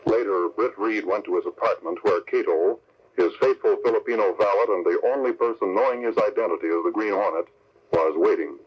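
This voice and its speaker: Low-voiced man narrating a radio serial. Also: fairly quick. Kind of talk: dramatic scripted radio narration. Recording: muffled, noisy old radio recording.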